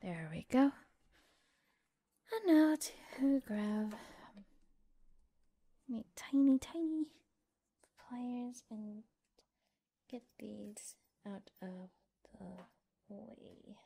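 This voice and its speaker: A woman playing a friendly, gently teasing mecha mechanic in an ASMR roleplay, soft-spoken and often whispering, murmuring reassurance as she works. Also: fairly high pitch, lively intonation, creaky, breathy edge, full character voice. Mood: gentle, focused. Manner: unhurried, murmuring reassurance while busy working.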